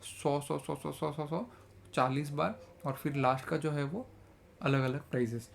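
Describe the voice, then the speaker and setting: A young man with a slightly sore throat walks viewers through a trading lesson in an upbeat, chatty way. His voice sits a little high, and he speaks slowly and deliberately, checking in often with the listener. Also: vocal fry, a little hiss or hum. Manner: energetic, mixes English phrases, repeats "theek hai". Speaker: trading educator. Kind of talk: casual trading tutorial in Hindi-English mix.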